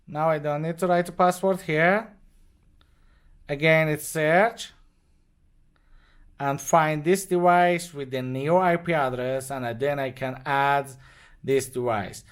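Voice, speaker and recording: A man with non-native, halting English walks step by step through an unscripted product demonstration. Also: a little high, a bit bright, smooth. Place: indoors at a desk with devices.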